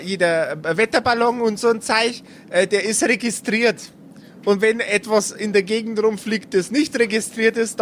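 A woman's voice: medium pitch, natural voice. A woman voicing an eager know-it-all schoolgirl, stammering and insistent. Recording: faint background noise.

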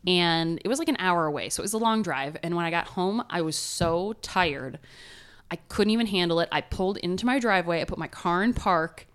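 A woman's voice: lowish voice, fairly quick, a bit bright. A woman in her thirties with a nasal voice, telling stories in a casual podcast chat and laughing often. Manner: chatty, laughing storyteller. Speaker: podcast co-host.

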